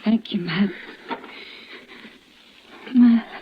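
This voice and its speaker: Slow-spoken, shaken frontier woman. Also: mid-range voice. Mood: shaken, faint. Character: tough, shaken frontier woman just rescued. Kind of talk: old-time radio western acting. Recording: muffled, very noisy old radio recording.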